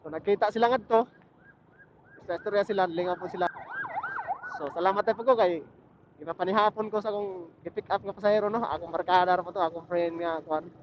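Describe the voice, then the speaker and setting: A Filipino man with a Bisaya accent and a high voice talks off the cuff like a motovlogger. He is informal and circles back over his phrases. The sound is dull and boxy, with faint vehicle noise behind him. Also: fairly even intonation, fast talker, pauses often. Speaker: motorcycle vlogger. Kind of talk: casual rambling chat while riding. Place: outdoors on a motorcycle at night in traffic. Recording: muffled helmet intercom with road noise. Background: faint vehicle and road noise.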